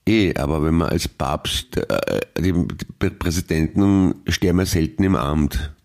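A man with an Austrian accent and an extremely deep, gravelly voice. He co-hosts a casual podcast and argues his points with dry humor. He stammers often as he talks. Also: lively intonation, unhurried, a little mellow. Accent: Austrian German. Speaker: podcast co-host. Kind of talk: casual banter.

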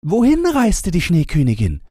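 A man's voice: sits high, wildly swooping pitch, normal speed, warm tone, no room sound, clean studio sound, pitched above the performer's own voice, exaggerated voice. Man doing a timid little girl. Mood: pleading. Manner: timid, pleading. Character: frightened gentle little girl.

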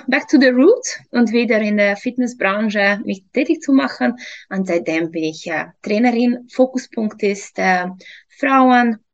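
A woman in her early thirties from Hungary with a Hungarian accent, haltingly searching for words as she tells her own story in an interview. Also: on the high side. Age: early 30s. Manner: halting, searching for words in non-native German. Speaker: interview guest, fitness trainer. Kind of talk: personal account in an interview. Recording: dull lo-fi sound.

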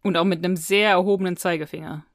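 A woman's voice: lowish voice, steady tone, fast talker, no echo, clean studio sound. A woman with speech co-hosts a casual podcast, giving her opinions in an emphatic, colloquial way. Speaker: podcast co-host.

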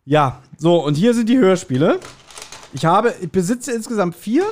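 Higher-voiced man chatting casually. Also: very animated, normal speed. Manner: joking, colloquial, blunt. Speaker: podcast host. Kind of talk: casual chat, nostalgic anecdotes.

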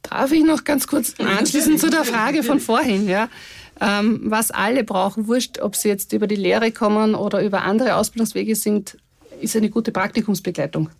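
A woman with an Austrian accent speaking as a care organization representative in a podcast discussion, thoughtful, with many pauses to rephrase. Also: medium pitch, vocal fry, no echo. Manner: thoughtful, many fillers and restarts.

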